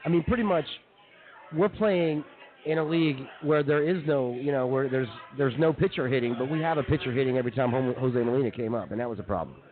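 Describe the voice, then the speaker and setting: A man's voice: mid-range voice, fast talker, a crowd in the background. A man co-hosting a radio show with informal, slangy banter about sports and jokes. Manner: informal, peppers talk with "dude" and "man". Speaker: radio co-host. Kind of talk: casual sports and comedy banter. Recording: tinny, narrow-band phone-like audio.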